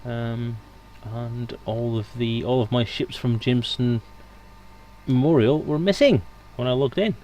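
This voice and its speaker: Man, stream host, medium pitch, casual rambling chat, unscripted, light background noise. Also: a little slow, darkish tone.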